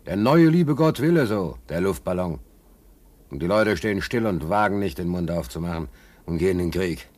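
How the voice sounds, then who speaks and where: A man's voice: deep voice, lively intonation, normal speed, a little mellow. A man playing an uneasy, bitter working-class ship stoker in a German radio play, talking in a colloquial, brooding, indignant way. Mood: bitter indignation.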